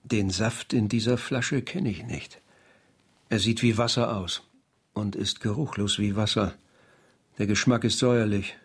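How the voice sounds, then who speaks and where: An actor playing a sober, learned doctor with a measured, clinical manner in a historical radio crime drama. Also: male voice, lowish voice, steady tone, slightly rough. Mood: grave, measured. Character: sober, learned male doctor in old Paris.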